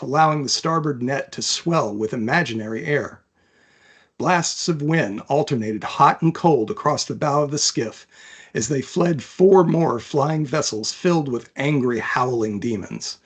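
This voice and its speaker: A man, an author, talking about and reading from his own fantasy novel in a thoughtful, measured manner. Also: on the high side, slightly rough. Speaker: author, interview guest.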